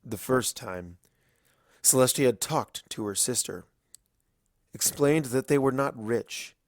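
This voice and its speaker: A man narrating dramatic fiction in a grave, deliberate storytelling style, with weighty pauses. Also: mid-range voice, dry recording, studio quality.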